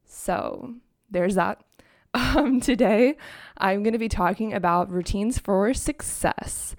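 A woman's voice: medium pitch, takes it slowly. A young woman hosting her own podcast in a chatty, upbeat way, peppered with "like", her voice still sleepy from the morning. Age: young adult. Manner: chatty, upbeat, heavy use of "like". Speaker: podcast host. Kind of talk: casual solo podcast talk. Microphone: own microphone and laptop.

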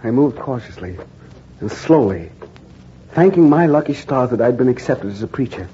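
An actor playing a reporter who narrates his own ordeal in measured, grave first person, in a 1940s radio drama. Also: mid-range voice, lively intonation, dark tone, a little hiss or hum, close to an everyday voice. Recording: dull 1940s radio broadcast recording with music.

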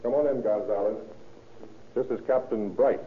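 Tinny radio policeman, a male actor, brisk reporting. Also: on the low side, a little hiss or hum, lightly acted. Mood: businesslike. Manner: crisp reporting to a superior. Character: brisk, respectful male arresting police officer. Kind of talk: 1930s-40s radio drama acting. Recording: thin, phone-like old-time radio recording.

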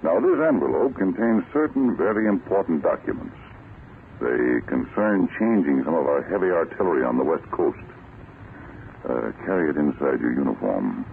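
An actor playing a deliberate, authoritative senior army general in a 1940s radio drama, with a gravelly voice. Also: male voice, rumbling low, animated, heavily acted. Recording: muffled, hissy vintage radio recording.

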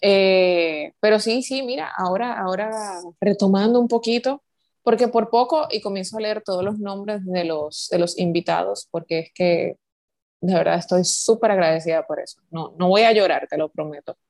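Dominican woman, co-host, video call, gravelly voice, chatty with many fillers. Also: mid-range voice, moderate pace, darkish tone. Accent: Dominican Spanish. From Dominican Republic. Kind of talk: casual chat on a video call.

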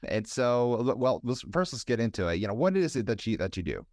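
A man's voice: medium pitch, very animated, a little fast, darkish tone, no room sound, clean studio sound. A man hosting a casual interview in a conversational, affirming way, repeating himself as he goes. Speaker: podcast host, interviewer.

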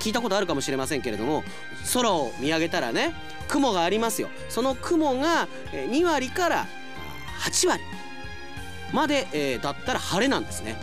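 A male radio announcer hosts his show with a highly animated, swinging intonation. He slips conversational asides into his presentation. Music plays clearly behind his voice.

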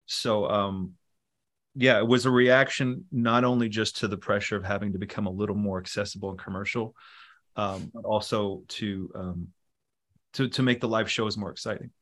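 Low-voiced man rambling about studio work. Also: normal speed. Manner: relaxed, rambling, with many fillers. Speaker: musician and producer guest. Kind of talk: casual interview talk.

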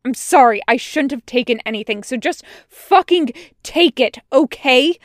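A woman's voice: sits high, expressive, normal speed, no echo, studio quality, pitched above the performer's own voice. A woman voicing a bitter, guilt-ridden male soldier with clipped, volatile delivery in a dramatic story reading. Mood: furious, snapping. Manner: snapping outbursts, clipped curt replies. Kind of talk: dramatic fan fiction reading.

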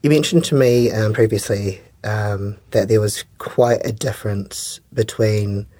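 A man's voice: fairly low pitch, dark tone, dry recording. Dry-sounding New man interviewing. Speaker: interviewer, podcast host. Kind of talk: prepared interview questions.

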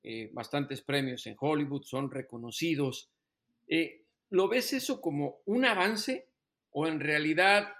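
Male interviewer, gravelly voice, slightly high pitch, long thoughtful questions. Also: a bit bright.

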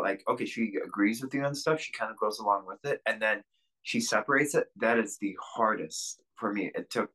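Low, bright-toned man rambling candidly. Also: poor audio. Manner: rambling, lots of fillers and restarts. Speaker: podcast guest. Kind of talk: candid interview talk.

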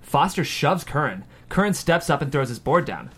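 A man's voice: fairly high pitch, very animated, normal speed, fairly bright tone, husky. A man narrating a screenplay, reading stage directions aloud at a table read.

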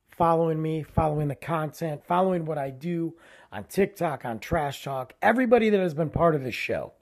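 Husky-voiced man hosting a podcast. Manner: rambling, animated, voice drops low at phrase ends. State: losing his voice, tired. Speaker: podcast host. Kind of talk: casual solo podcast intro.

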